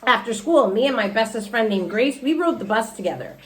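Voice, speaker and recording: A woman teacher reading a children's storybook aloud in an expressive, explanatory way. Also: on the high side, brisk.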